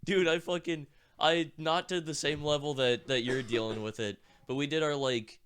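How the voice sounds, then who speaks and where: A man's voice: fairly high pitch, fairly even intonation, normal speed, fairly bright tone, slight background noise. Sick young man chatting casually. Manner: rambling, swearing, laughing often. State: sick, rough voice. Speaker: podcast co-host. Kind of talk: casual chat.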